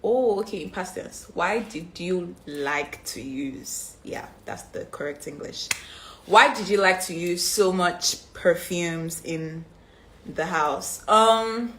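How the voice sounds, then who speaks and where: A young woman with a Nigerian accent talks through fan questions on a live stream, her voice sitting a little low for a woman. She reads each question aloud, then answers loosely, filling pauses with ums and easy asides. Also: fairly bright tone, slight background noise. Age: 20s. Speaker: reality show contestant answering fan questions. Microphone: phone on a live stream.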